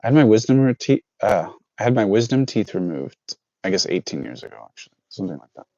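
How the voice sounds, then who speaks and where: Low-voiced guy in his 30s rambling to his stream chat, dull dry lo-fi mic. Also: very animated, fast, gravelly, warm tone, no echo. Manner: rambling, self-correcting, reads out chat. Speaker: livestream host chatting with viewers. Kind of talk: casual livestream chat.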